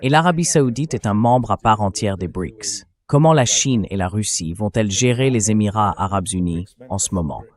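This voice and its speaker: Lower-pitched man giving emphatic political commentary. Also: animated, moderate pace, few pauses, darkish tone. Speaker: political analyst, interview guest. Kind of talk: opinionated political commentary, dubbed translation read by AI voice.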